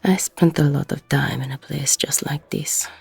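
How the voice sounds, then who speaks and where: A woman playing a weary, protective middle-aged ex-con bar owner in an intimate audio roleplay, with a husky, gravelly voice. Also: low voice, steady tone, normal speed, a little mellow, no echo, faint music behind, heavily acted. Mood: regretful, confiding.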